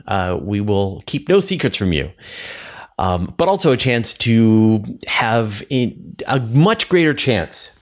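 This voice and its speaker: A man hosting a podcast in a casual, conversational style, with emphatic repetition and asides. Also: fairly low pitch. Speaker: podcast host.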